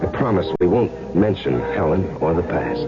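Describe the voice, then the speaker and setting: A man's voice: low-pitched, sing-song, moderate pace. An American man acting in a 1970s radio drama as an earnest, pressing husband searching for his missing wife. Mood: coaxing. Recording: dull old radio recording, loud music.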